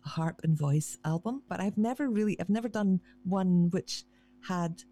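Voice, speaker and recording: A woman's voice: fairly low pitch, moderate pace, dark tone, soft background music. A woman harpist, chatting casually and self-deprecatingly as a guest in an interview, often restarting her sentences.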